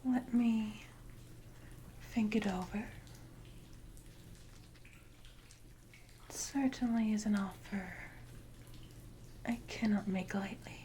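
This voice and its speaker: Siren, female performer: haughty sea predator for ASMR roleplay, soft dark whisper, unhurried and condescending, dripping water behind. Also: medium pitch, natural voice. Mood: musing, condescending. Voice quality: breathy, mostly unvoiced whisper. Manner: slow, deliberate, condescending, archaic phrasing. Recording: noisy ambience with water drips.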